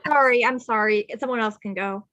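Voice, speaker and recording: A woman's voice: on the high side, fairly quick, pushed and bright. A woman talking casually in a group discussion. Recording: slightly muffled call audio.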